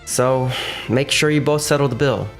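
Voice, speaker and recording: A man voicing a calm, businesslike young male realty manager in a fan manga dub, who also narrates, measured and firm. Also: medium pitch, lightly acted, poor audio. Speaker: narrator and lead voice actor. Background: faint music.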